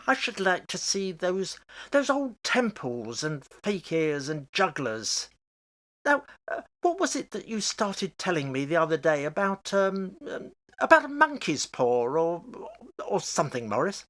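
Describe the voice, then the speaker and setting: A man's voice: high-pitched, very animated, measured pace, a bit bright, full character voice. A man playing a genial, curious father in a radio play, with a polite, slightly hesitant manner. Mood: curious, wistful. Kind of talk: amateur radio play acting.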